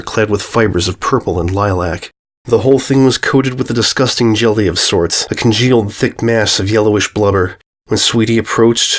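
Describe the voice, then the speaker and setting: A man giving a scripted narration of a horror fan fiction story. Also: on the low side.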